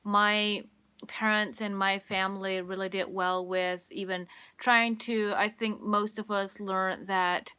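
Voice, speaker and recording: A woman whose first language is Pennsylvania Dutch, hosting a casual livestream from a room at home, talking in an unhurried, hesitant way full of ums and restarts. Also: medium pitch, flat delivery, sharp tone, clear, resonant tone. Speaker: livestream host. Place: home room with sunlit curtains.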